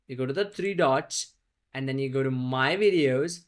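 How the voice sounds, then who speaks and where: A man giving a casual software tutorial over a screen recording, talking loosely and tagging his steps with "right?". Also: mid-range voice, normal speed. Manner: loose, repeats "right?" often, restarts phrases.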